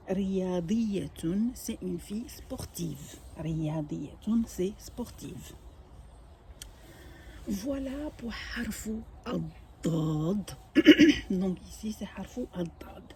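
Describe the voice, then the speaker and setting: Older woman, native Arabic speaker, unhurried patient teacher with a warm, dark tone. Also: medium pitch, a little hiss or hum. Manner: patient, explains each word slowly with small restarts. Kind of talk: home-made language lesson.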